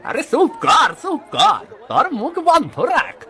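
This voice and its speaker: Spiteful cartoon hotel owner, male actor: high, very animated, blustering, jealous, music behind. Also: a little slow, full character voice. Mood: bitter, angry. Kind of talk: exaggerated cartoon voice acting.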